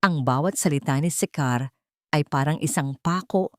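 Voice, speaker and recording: A woman with a slightly low voice narrates a dramatic audiobook. Her delivery is solemn and measured, and the sound is very dry, with no room echo. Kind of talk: dramatic scripted story narration.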